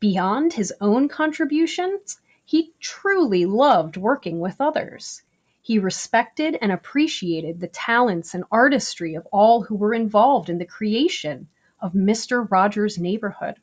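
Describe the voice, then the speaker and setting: A woman reading a picture book aloud for children, with deliberate phrasing. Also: mid-range voice, expressive. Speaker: story reader. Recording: slightly dull, lo-fi recording.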